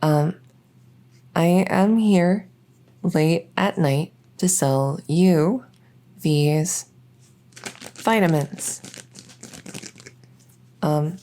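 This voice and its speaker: A woman's voice: on the low side, extremely slow, pauses often, mellow. A woman playing a shy, socially awkward vampire in an ASMR roleplay, speaking hesitantly with anxious stammers. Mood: flustered, hesitant.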